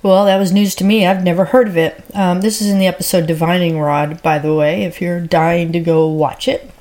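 A woman's voice: lowish voice, fairly even intonation, a little fast, a little mellow. A woman hosting a casual solo podcast, a little stuffy from a head cold, chatty and rambling with lots of fillers. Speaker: podcast host.

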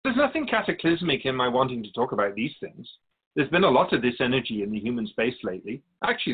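A man playing a wise, patient otherworldly spirit in a radio drama, explaining things in a measured, gently teasing way. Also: mid-range voice, slightly rough, phone-quality sound. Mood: genial, earnest. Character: Keeper of Souls' Purpose, wise otherworldly spirit, patient and curious.

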